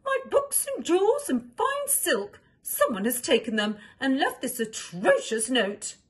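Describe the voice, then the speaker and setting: A dramatic storybook queen, played by a woman, high in pitch and full of indignation. Her speech swoops theatrically, as if every line were a grievance. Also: sing-song, measured pace, breathy edge, heavily acted. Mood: distraught.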